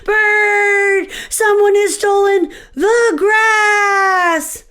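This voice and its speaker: A woman voicing an excitable, silly squirrel in a storybook, with dramatic exclamations and drawn-out words. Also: very high-pitched, soft background music, voice pushed up, exaggerated voice. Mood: panicked, accusing.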